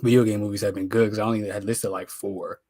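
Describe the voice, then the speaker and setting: A man's voice: on the low side, level tone, quick pace, mellow, no echo. An American man co-hosting a pop culture podcast, talking casually and informally with plenty of slang and opinions. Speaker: podcast co-host.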